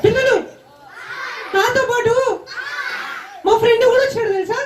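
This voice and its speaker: A very high, squeaky child puppet chatters cheekily to the children at a live puppet show. It teases and scolds them playfully. The voice rings around a noisy, echoing hall with a crowd behind it. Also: moderate pace. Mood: excited. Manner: teasing, scolding, calling out to the audience.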